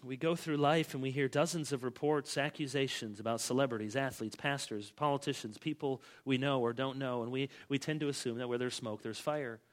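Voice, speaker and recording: A middle-aged male pastor preaching an expository sermon, swinging between loud, stressed phrases and quiet asides. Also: medium pitch, steady tone, brisk, hardly any pauses. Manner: emphatic, with big swings in loudness.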